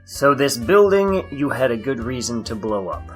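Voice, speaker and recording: A man's voice: mid-range voice, big pitch swings, moderate pace, natural voice. A man playing a dry, sardonic detective interrogating a suspect in a comedic noir audio drama. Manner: sardonic, skeptical. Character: dry, exasperated male police detective interrogating a criminal. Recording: music bed under the voice.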